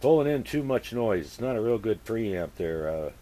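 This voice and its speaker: A man chatting casually as a radio operator, using procedure words and speaking haltingly as he thinks aloud. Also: lowish voice, very animated, warm tone. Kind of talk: casual two-way radio chat with call-and-response procedure words.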